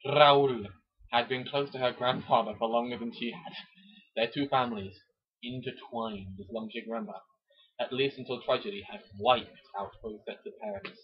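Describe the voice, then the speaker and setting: A man with a husky voice doing an amateur mock-dramatic reading of a romance novel, with chatty asides. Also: mid-range voice, moderate pace. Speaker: amateur narrator. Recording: dull lo-fi home recording.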